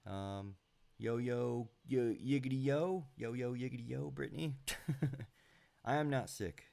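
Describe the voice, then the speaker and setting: A man's voice: mid-range voice, takes it slowly, gravelly, dark tone. A man hosting a casual live stream from home, sounding congested and rambling with lots of fillers and little chuckles into a computer mic. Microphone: home computer mic with high gain.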